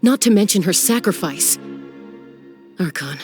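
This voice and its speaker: Subdued, resolute grieving warrior. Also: male voice, high-pitched, animated, a little slow, a little mellow, faint music behind. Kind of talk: video game voice acting.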